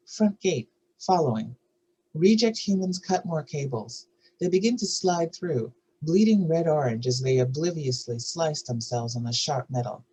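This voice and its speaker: Husky narrator voice reading out script directions at a table read, medium pitch. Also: darkish tone, rough recording.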